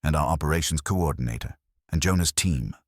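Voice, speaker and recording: A man reads as a composed businesswoman in an audiobook mystery, guarded under questioning. The voice stays low and husky, with terse, direct answers. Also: a little fast, no echo, clean studio sound.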